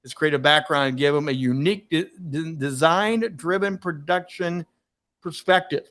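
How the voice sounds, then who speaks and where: A man's voice: on the high side, a bit bright. A man hosting a live-streamed talk show, speaking in an upbeat, emphatic, promotional manner over a video call. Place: home studio, Washington DC.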